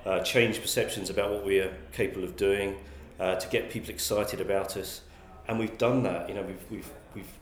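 A man, answering interview questions thoughtfully and often restarting his sentences. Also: low voice. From England. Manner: thoughtful, frequent restarts and repeated words. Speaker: guest, magazine publisher. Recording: roomy echoey sound.

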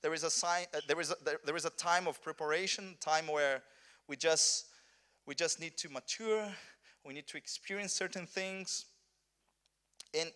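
A man's voice: on the high side, pushed and bright. A Brazilian man, a Portuguese speaker preaching in English at a conference, earnest, with many restarts and repeated words. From southern Brazil. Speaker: pastor. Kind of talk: conference sermon.

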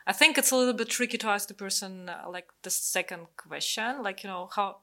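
Bright-voiced woman. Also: mid-range voice, fairly quick. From Russia. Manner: animated, full of "like, you know" fillers. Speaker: discussion participant. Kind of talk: casual discussion.

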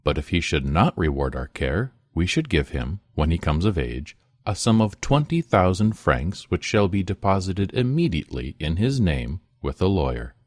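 A man voicing a polite, wealthy gentleman who reasons formally and persuasively in a storybook reading. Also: low-pitched, very animated, moderate pace, few pauses, no room sound, studio quality. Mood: measured persuasion.

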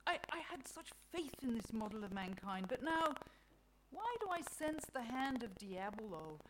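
A woman's voice: fairly high pitch, animated, measured pace, voice pushed up. A woman voicing a flustered, well-meaning male God in a satirical storybook reading, hesitant and earnest with frequent stammers. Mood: troubled. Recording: clearly noisy live recording.

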